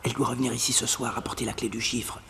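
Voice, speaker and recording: An actor playing a detective's loyal, eager young nephew who tells the story in a radio serial. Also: male voice, mid-range voice, little pitch movement, racing, gravelly, fairly bright tone, big-room sound, a little hiss or hum. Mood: confiding.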